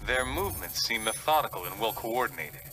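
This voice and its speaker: An analytical, grave strategist giving a game briefing. His voice is fairly low, with noticeable noise in the background. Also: expressive, fairly quick, pushed and bright. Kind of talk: video game briefing narration.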